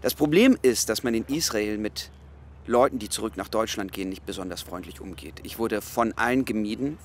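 An actor playing an earnest secret agent in his thirties in a radio drama, explaining himself with measured insistence. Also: on the low side, slight background noise, lightly acted. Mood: earnest, confiding. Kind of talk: naturalistic radio drama acting.

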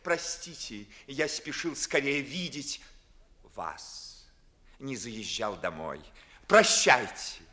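An actor playing a witty, ardent young gentleman in a declamatory, mocking manner, in 1950s Russian theatrical radio verse. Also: medium pitch, big pitch swings, takes it slowly, heavily acted. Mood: hurried, smitten. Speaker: stage actor. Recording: early 1950s studio radio recording.

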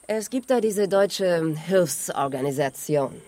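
An actress playing a cool, assertive American television reporter in a radio drama, direct and challenging. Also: female voice, fairly low pitch, lively intonation, slow pace, mellow. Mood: businesslike. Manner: direct, challenging, switches into English.

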